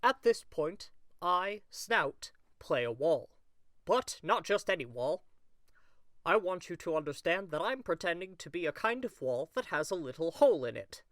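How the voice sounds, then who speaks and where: A man's voice: fairly high pitch, big pitch swings, moderate pace, fairly bright tone, no room sound. A man playing an eager amateur actor who earnestly explains his part in a theatrical audio drama.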